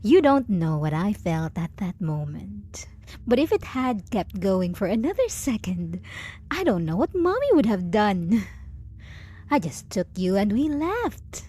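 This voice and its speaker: Woman performing as possessive dominant female lover, ASMR roleplay, unhurried, lilting intonation, teasing, condescending, doting. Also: mid-range voice, sing-song, warm tone, breathy edge. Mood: possessive, controlled. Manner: slow, teasing, condescending pet-name cooing with deliberate pauses. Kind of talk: intimate ASMR audio roleplay.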